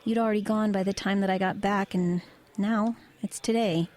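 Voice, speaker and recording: Woman played by an actress, wry delivery driver, mid-pitched voice, conversational, hesitant pauses, radio play storytelling. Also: steady tone, darkish tone, close to an everyday voice. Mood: matter-of-fact. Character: wry, low-key woman delivery driver recounting eerie sightings. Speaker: lead actress and narrator. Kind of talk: audio drama narration and dialogue.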